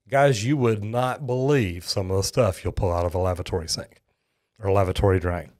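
Folksy plumber, practical and plainspoken. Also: male voice, medium pitch, a little mellow. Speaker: plumber reacting to home hacks. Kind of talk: casual reaction and demonstration. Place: studio set and a small restroom. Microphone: boom mic on desk and lapel mic.

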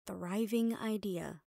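A woman acts out a composed, protective mafia boss's assistant in an intimate audio roleplay. Her mid-pitched voice speaks directly to the listener, firm yet reassuring. The sound is close and dry. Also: drawn-out words, vocal fry, warm tone, no room sound, full character voice. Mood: reassuring, teasing.

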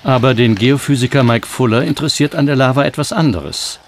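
A man reading scripted documentary narration in a measured, explanatory manner. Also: medium pitch, a little hiss or hum. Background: background music.

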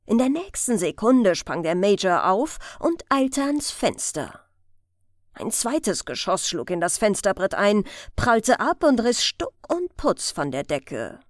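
A woman reading a story as an audiobook narrator, in a measured, expressive scripted storytelling style. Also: medium pitch, no room sound, clean studio sound.

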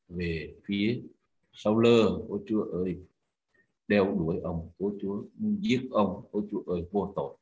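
A man's voice: sits low, a little mellow, low-quality recording. A man, a pastor, leading a spoken prayer with fervent repeated cries to God between phrases.

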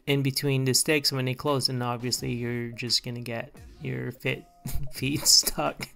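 A man in his forties who grew up in the Philippines, casually narrating his own painting timelapse with a relaxed, rambling delivery full of fillers. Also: medium pitch, vocal fry. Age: 40s. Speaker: digital artist.

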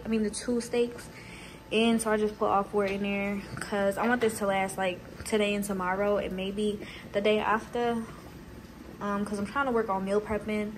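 A woman's voice: mid-range voice, a little hiss or hum, poor audio. A young woman in her twenties chatting casually to a phone camera in her home kitchen, laid-back and full of fillers. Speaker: vlogger. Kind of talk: casual vlog chat to camera.

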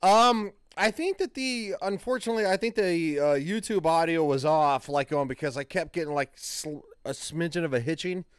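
Man with a clear voice, reacting casually. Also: on the high side, normal speed, hardly any pauses, no echo, clean studio sound. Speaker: reaction video host. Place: small room at home, indoors. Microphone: close studio mic on a desk, with headphones.

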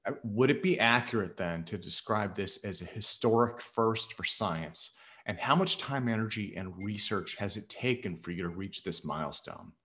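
A middle-aged man hosting an interview over a video call with a headset, reading his introduction and questions smoothly and formally. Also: on the low side, fairly bright tone. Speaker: interview host. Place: home room.

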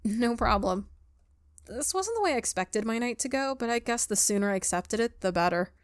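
A woman's voice: on the high side, sing-song, fast talker, clear, resonant tone, no room sound. A woman reading a visual novel aloud as a player, expressively, with wry and amused casual asides. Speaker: gamer doing a playthrough, reading aloud.

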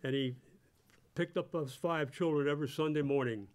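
A man in his eighties giving a church testimony from notes, haltingly, often repeating words, into a pulpit microphone. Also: a little high. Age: 80s. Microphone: microphone on a stand at the pulpit.

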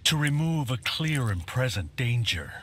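A man's voice: mid-range voice, big pitch swings, measured pace, noisy background. A man playing a stern, proud young martial-arts champion in a video game, terse and serious. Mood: stern, challenging. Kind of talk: video game fight intro dialogue.

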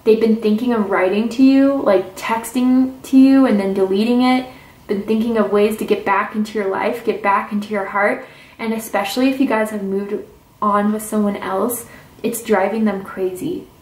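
A woman giving a casual tarot reading to camera at a table, chatty and intuitive, with drawn-out "ooh"s and "mm"s. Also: mid-range voice, fairly even intonation, a little mellow. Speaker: tarot reader. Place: indoors at a tarot table with crystals and candles. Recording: roomy, distant camera mic with faint hiss.

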